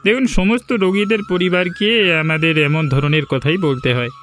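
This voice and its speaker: A man plays a village doctor in a cartoon, with a voice high for a man. He is earnest and cautioning, and soft music runs underneath. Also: animated, brisk. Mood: earnest, advising. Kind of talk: Bengali cartoon dialogue. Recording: music behind the voice.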